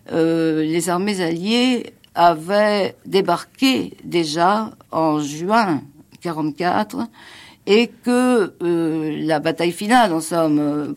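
An older woman speaks in a deep, creaky voice. She talks haltingly, stopping and restarting her sentences as she recalls the past in an archival interview. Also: a little mellow. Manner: hesitant, many restarts and fillers. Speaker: former resistance member interviewed.

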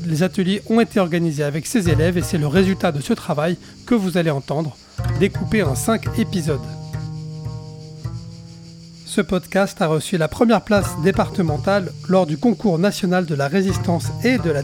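A man with a fairly high voice reads a formal introduction, announcing the podcast. Music plays clearly behind him. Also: normal speed. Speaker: podcast presenter. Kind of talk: scripted introduction.

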